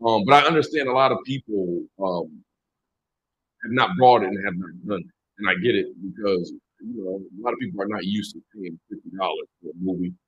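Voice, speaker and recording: A hoarse man in his thirties, losing his voice, hosting a casual livestream from a small room and greeting viewers by name. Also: lowish voice, a little fast, fairly bright tone, muffled recording. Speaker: livestream host.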